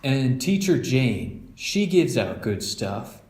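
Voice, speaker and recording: A man voicing an excitable, bossy young boy bear cub in a children's storybook, eager and sure of himself. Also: mid-range voice, slow pace, reverberant, voice pushed up. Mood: eager, planning.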